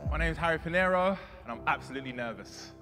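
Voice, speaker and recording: Cheeky London man, lively voice. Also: a little high, fast talker, soft background music. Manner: cheeky, teasing, animated. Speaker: guest on a dating show video. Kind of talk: playful dating-show banter.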